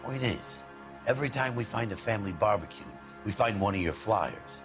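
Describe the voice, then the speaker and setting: A man acts out a blunt, world-weary detective for a crime game. His very deep voice is sardonic and gruff, with music clearly behind it. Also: animated, moderate pace, close to an everyday voice. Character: gruff, sarcastic, blunt middle-aged male police detective. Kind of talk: dramatic video game acting.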